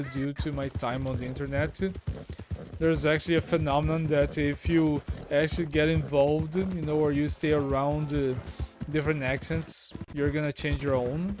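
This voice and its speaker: A man from Brazil talks through a game livestream in a friendly, rambling way, full of "uh"s. His voice sits a bit high for a man. Game music plays clearly behind him. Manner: friendly, rambling, many "uh" fillers. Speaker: live streamer. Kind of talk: casual gameplay livestream chat. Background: game music and horse sounds.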